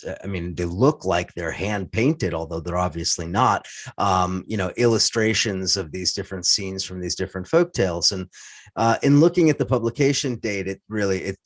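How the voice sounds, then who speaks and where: A middle-aged man with a low, deep voice answers an interview question at length, pausing on fillers and restarting his sentences. He sounds close to the mic, in a dry room with no echo. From United States. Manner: thoughtful, many fillers and restarts. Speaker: interview guest, writer and podcaster. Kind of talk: casual interview talk.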